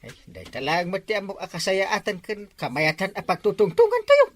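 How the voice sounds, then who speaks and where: A bossy barangay captain, played by a woman, swooping wildly up and down as he gives orders. The voice sits at a middle pitch, with the overdone, comic manner of a sketch. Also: huge pitch swings, moderate pace. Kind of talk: Ilocano comedy skit acting.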